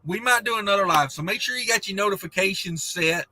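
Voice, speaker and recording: A man hosting a trucking livestream, reading viewer comments in a rambling, laid-back chat, and his voice is going hoarse. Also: high voice, quick pace, bright, forceful tone, dry recording, rough recording. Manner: rambling, laid-back, many fillers and restarts. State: losing his voice.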